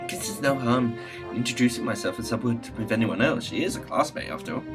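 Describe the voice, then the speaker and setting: A man hosting a gaming video, reading visual novel text aloud with casual asides and halting stumbles over the words. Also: mid-range voice. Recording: music playing behind the voice.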